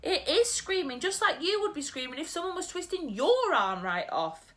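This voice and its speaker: Gentle male giant with odd mangled grammar, earnest and kindly, lively rising and falling tone, as a woman reads him in a storybook. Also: extremely high pitch, normal speed, pitched above the performer's own voice, heavily acted. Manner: quirky broken grammar, warm earnest storytelling.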